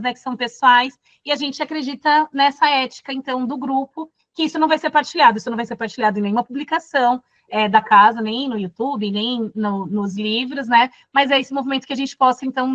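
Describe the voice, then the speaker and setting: Soft-toned Brazilian woman teaching. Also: sits high, no room sound, muffled recording. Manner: thoughtful, many fillers and restarts. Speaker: course facilitator. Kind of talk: casual classroom talk.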